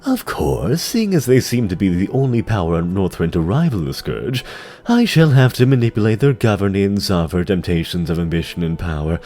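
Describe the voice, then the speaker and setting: A man voicing an imperious, scheming demon dreadlord in a fantasy audio drama, speaking in a measured, mockingly deferential way. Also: deep voice, very animated, mellow. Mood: scheming, smug.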